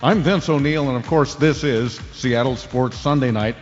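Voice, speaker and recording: An actor playing a genial, self-deprecating sports radio host giving loose show-opening patter. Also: male voice, medium pitch, moderate pace, in a large hall, dull audio, faint music behind, natural voice.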